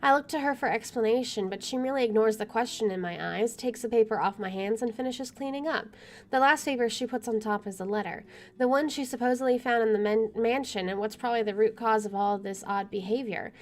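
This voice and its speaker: A woman's voice: on the high side, a little fast. A woman streaming a game, reading visual novel narration aloud and adding casual asides. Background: soft music.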